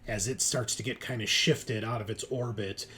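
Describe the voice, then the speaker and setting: A man's voice: on the low side, steady tone, normal speed, fairly bright tone, slight background noise. A man narrating a tabletop roleplaying game as game master, setting scenes and calling for rolls.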